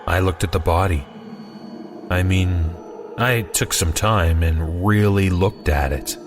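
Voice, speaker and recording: A man's voice: low-pitched, slow, background noise. A man narrating a scripted first-person horror story in a conversational way with wry asides. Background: music.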